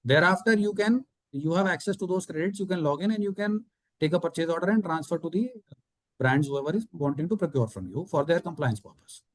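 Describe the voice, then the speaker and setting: Male company executive, Indian accent, quick pace and dry close sound, methodically explaining business figures to investors. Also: on the high side, dry recording. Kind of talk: explanatory answers on an earnings call.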